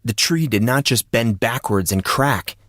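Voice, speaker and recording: A man reading fantasy fiction as an audiobook narrator, in a deliberate, suspenseful dramatic style. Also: mid-range voice, fairly bright tone, no room sound, clean studio sound.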